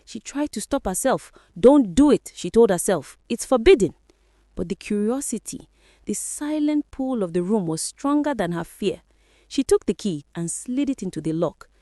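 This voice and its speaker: Expressive woman telling a folktale. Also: medium pitch, moderate pace, no room sound, studio quality. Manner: dramatic, expressive storytelling. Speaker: storyteller. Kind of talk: scripted folktale narration.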